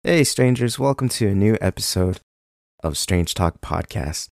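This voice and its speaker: A man's voice: animated, a little slow, creaky, no room sound. Young man hosting, a little sick. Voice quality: deeper than usual from illness. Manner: casual, with ums and likes. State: sick with a cold. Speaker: podcast host. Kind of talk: true crime podcast narration.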